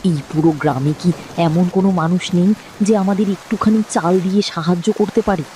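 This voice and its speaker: A woman's voice: lowish voice, fast talker, warm tone, close to an everyday voice, low-quality recording. A woman voicing a frightened, hungry little village boy in a moral-story cartoon, plaintive in manner. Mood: suffering. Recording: faint rain noise behind.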